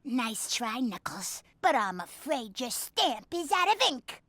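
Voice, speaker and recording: Casting: young female cartoon critter, assertive, sharp and animated, scolding. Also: fairly high pitch, takes it slowly, no echo, heavily acted. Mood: smug. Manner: emphatic, scolding. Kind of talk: cartoon comedy acting.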